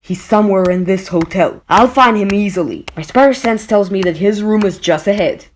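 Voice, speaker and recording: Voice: medium pitch, normal speed, a little hiss or hum, lightly acted. An actor playing a young wisecracking superhero, earnest and determined, describing his own moves in a comic book dub. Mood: confident. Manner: earnest, narrating own actions.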